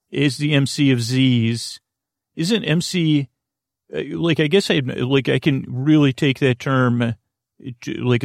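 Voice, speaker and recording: A man hosting a sleep podcast in a creaky voice, giving a gentle, reassuring monologue that meanders haltingly from one tangent to another. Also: mid-range voice, dry recording, studio quality. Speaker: podcast host.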